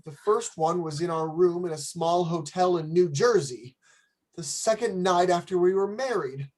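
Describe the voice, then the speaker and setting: Woman playing a low-voiced, anxious young groom. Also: moderate pace, darkish tone, natural voice. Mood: worried, earnest. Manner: hesitant, earnest. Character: anxious, hesitant wealthy young bridegroom. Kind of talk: radio play acting.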